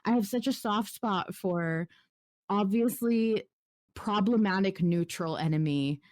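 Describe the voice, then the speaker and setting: A woman's voice: mid-range voice, a little slow, a little mellow, no echo, clean studio sound. A woman chatting casually as a podcast host, effusive and slangy, with frequent asides. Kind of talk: casual fan discussion.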